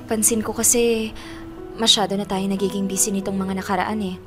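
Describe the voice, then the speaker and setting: A woman's voice: medium pitch, little pitch movement, a little fast, natural voice. A Filipino woman playing a frank, preoccupied college girlfriend in a naturalistic audio drama. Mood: hesitant, serious. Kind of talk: naturalistic radio-style drama acting. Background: clear background music.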